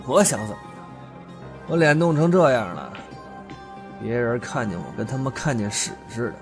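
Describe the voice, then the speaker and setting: Man acting a sneering, lively-pitched vengeful thug. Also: normal speed, natural voice. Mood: bitter, menacing. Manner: sneering, crude swearing, taunting. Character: menacing, vulgar, disfigured older brother with a grudge. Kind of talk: Chinese audio drama acting. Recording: music behind the voice.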